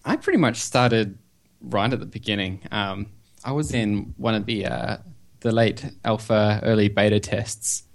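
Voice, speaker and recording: A man's voice: on the low side, measured pace, vocal fry. A man with an Australian accent, talking casually as a guest on a podcast. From Perth, Western Australia. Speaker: podcast guest, website developer. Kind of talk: casual interview chat.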